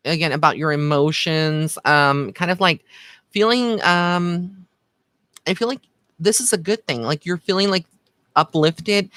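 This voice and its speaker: Bright-voiced man chatting casually on a livestream. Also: a little high, dry recording. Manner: informal, chatty, addresses listeners with endearments. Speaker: psychic medium giving live card readings. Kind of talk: casual livestream chat with viewers.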